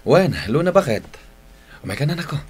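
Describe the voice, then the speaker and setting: A man's voice: on the high side, big pitch swings, fairly quick, darkish tone, slightly rough. A man acting a doting, playful father in an Ilocano radio drama, with a teasing, affectionate delivery. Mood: cheerful coaxing.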